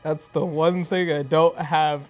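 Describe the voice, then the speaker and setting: Game player, middle register, casual table talk over quiet music. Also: mid-range voice, fairly even intonation, a little slow.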